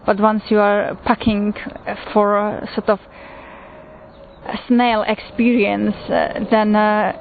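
A woman with a Slovak accent recounts a personal testimony, pausing often and filling gaps with "uh". Her voice stays level and flat in pitch. The recording is thin and phone-like, with a faint hiss underneath. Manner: unhurried, with frequent pauses and fillers. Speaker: pilgrim sharing testimony.

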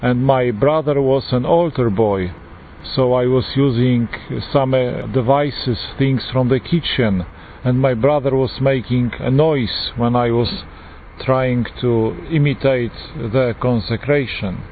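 A man in his forties with a Polish accent, a priest giving an earnest, unhurried personal testimony with frequent pauses. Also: medium pitch. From Poland. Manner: earnest, unhurried, pausing often. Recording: tinny phone-like line with faint hiss.

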